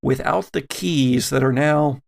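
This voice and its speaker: A man narrating a tabletop horror game as game master, with deliberate, dramatic phrasing and pauses. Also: mid-range voice, gravelly.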